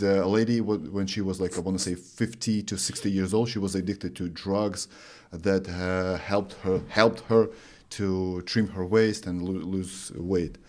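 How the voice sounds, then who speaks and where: A man's voice: lowish voice, darkish tone. Lithuanian-born man in his thirties, earnest. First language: Russian. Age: 30s. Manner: earnest, searching for words, many restarts. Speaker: podcast host. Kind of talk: interview. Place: studio with green curtain. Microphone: studio mic on boom arm.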